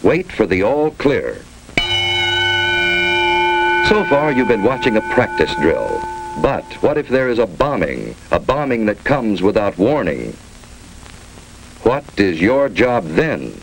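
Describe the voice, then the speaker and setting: A man's voice: fairly low pitch, very animated, takes it slowly, long pauses, full character voice. A man with an American accent narrating a 1950s instructional film in clipped, authoritative instructions. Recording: noisy old 1950s film soundtrack.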